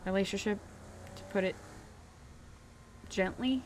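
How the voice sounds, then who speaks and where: A woman's voice: medium pitch, a little slow, slight background noise. A young woman vlogging from her bedroom, telling a personal story in a hesitant way with many ums and restarts while she plays a driving game. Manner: hesitant, lots of ums and restarts, self-deprecating; talks while playing a driving video game. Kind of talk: casual personal story time.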